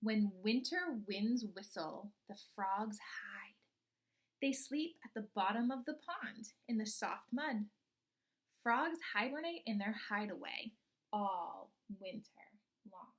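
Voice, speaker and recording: A woman reading a children's picture book aloud like a teacher, expressively, often pausing to pose guiding questions to young listeners. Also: medium pitch, lively intonation.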